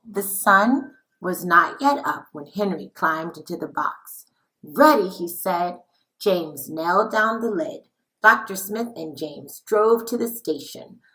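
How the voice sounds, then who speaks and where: A woman reading a children's picture book aloud like a teacher, in a dramatic, deliberate, expressive way. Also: lowish voice, low-quality recording. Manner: dramatic, deliberate, with acted-out dialogue.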